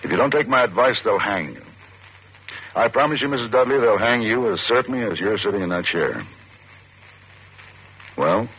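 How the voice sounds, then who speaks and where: An actor playing a stern, forceful American deputy district attorney in an old-time radio suspense drama, commanding, clipped and formal. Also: male voice, lowish voice, animated, moderate pace, long pauses. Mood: stern, pressing. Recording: muffled, noisy old radio recording.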